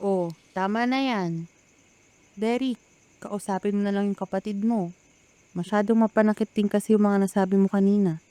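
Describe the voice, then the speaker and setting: A woman's voice: medium pitch, normal speed, pauses often, mellow, no echo, lightly acted. A woman with a Filipino accent playing a mild, peacemaking mother of grown children in a radio drama. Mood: soothing.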